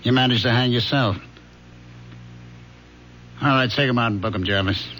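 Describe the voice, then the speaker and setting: An American actor playing a middle-aged police lieutenant, gruff, plain-spoken and assured but fatherly, in 1970s radio drama style. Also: mid-range voice, normal speed, a bit bright, lightly acted, low-quality recording. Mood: stern, assured. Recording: hissy, humming old radio recording.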